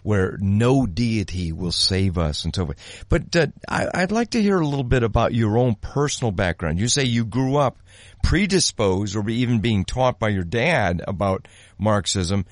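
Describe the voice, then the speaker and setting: A man interviewing in a casual, conversational way, haltingly rephrasing his thoughts and questions as he goes. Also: fairly low pitch, very animated, no echo.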